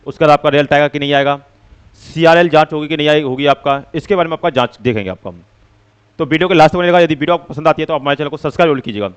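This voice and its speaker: A man's voice: fairly high pitch, clear, resonant tone, dull audio. A man giving an informal explainer to students as a coaching teacher, with repetitive, filler-heavy phrasing. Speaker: coaching teacher hosting exam update videos.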